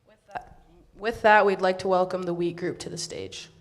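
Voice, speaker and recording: A woman's voice: fairly low pitch, steady tone, moderate pace. A young woman acting as emcee, announcing each presenting group in a scripted, orderly way.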